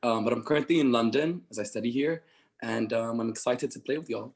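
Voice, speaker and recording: A man's voice: medium pitch, fairly quick. A man from Bahrain who studies abroad, introducing himself in a friendly way on a video call. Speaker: student. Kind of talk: casual introduction.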